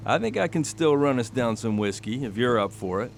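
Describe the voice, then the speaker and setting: A man's voice: medium pitch, expressive, brisk, vocal fry, slight background noise. Chatty, folksy small-town newsman. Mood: curious, confiding. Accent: West Virginia. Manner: folksy, conversational. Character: chatty, curious small-town newspaperman, affable and sly. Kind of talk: radio drama acting.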